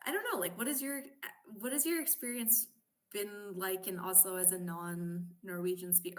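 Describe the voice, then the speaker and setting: Thoughtful woman host, low voice. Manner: thoughtful, hesitant, with many fillers. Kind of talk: casual interview.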